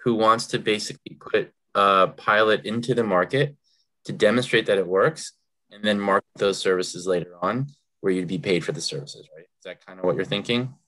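Low-voiced man asking probing questions. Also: brisk, pushed and bright, poor audio. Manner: probing, checks his understanding. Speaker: panel judge. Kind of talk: asking a question on a video call. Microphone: video call.

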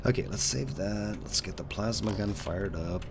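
A man's voice: fairly low pitch, moderate pace, vocal fry. A man giving casual, rambling play-through commentary as a gaming video host. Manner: chatty, rambling, jumpy exclamations. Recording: noisy voice-over with game sounds. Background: video game sounds.

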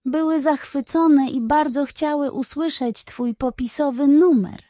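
A woman voicing a sly, greedy male pug dog in a children's storybook, a fast-talking schemer with a wheedling, theatrical manner. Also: high voice, mellow, no echo, clean studio sound, pitched above the performer's own voice. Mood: wheedling flattery.

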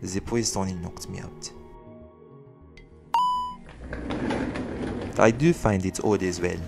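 Guy voicing a werelion vigilante, quippy and theatrical, lowish creaky voice, music underneath. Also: moderate pace. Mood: grudging, subdued. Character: theatrical bantering werelion vigilante, proud but sheepish. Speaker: voice actor in audio roleplay. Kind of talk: audio roleplay acting.

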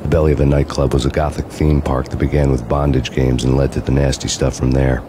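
An actor playing a grim, world-weary renegade cop, narrating in a deadpan, brooding noir style. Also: male voice, a bass voice, brisk, noisy background. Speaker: protagonist and narrator.

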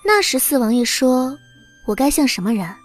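High-voiced young princess consort, a woman acting demure. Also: sing-song, faint music behind. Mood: nonchalant, polite. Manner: polite, unhurried, slyly nonchalant. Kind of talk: Mandarin audio drama acting.

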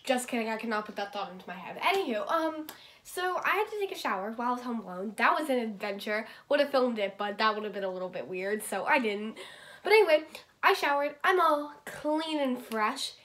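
A woman's voice: a little high, brisk. A young woman vlogging on a handheld phone, chatty and bubbly as she talks straight to her viewers. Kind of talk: casual vlog to a phone camera. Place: car, bedroom and a busy shopping mall. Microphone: handheld phone camera at arm's length. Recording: phone audio with steady background noise.

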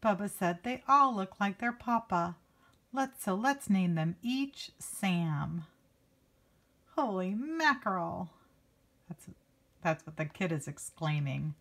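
A woman's voice: mid-range voice, animated, takes it slowly, fairly bright tone. A woman reading a picture book aloud to children like a teacher, expressively stretching key words and adding casual asides. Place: indoors, book held before a handheld camera.

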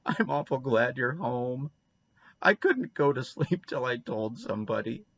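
A man's voice: medium pitch, sing-song, a little slow, fairly bright tone, muffled recording, voice pushed up, full character voice. A man voicing a guilty, desperate little boy in a storybook, with childish, ungrammatical country speech. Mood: miserable, pleading. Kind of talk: storybook character reading.

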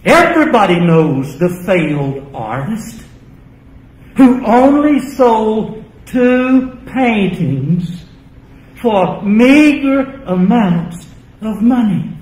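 High-pitched, slow-speaking older man. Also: big pitch swings, a little mellow. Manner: deliberate, dramatic phrasing with pauses. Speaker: spoken-word performer and lyricist. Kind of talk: spoken-word recital with anecdotes at a live reading. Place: small indoor venue stage. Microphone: microphone on a stand. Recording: roomy live microphone sound.

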